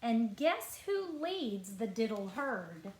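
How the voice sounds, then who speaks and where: A woman reading a picture book aloud to children as a librarian, with expressive, deliberate phrasing. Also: on the high side, a little hiss or hum. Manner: expressive storytelling, clear deliberate phrasing, asks listeners questions. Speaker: school librarian. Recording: roomy echo.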